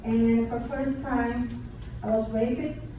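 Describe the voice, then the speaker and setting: Brazilian portuguese accent woman giving her testimony, halting non-native english, slow, really echoey hall far from the mic. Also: a little high, steady tone, dark tone. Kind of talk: personal testimony to an audience. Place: large echoey room, before an audience. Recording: very echoey room, distant mic, faint noise.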